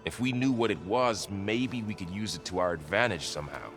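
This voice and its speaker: A thoughtful male warrior companion from a video game. His voice is deep and his delivery measured and subdued, with a gentle weariness. Light music sits underneath. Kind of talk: naturalistic video game acting.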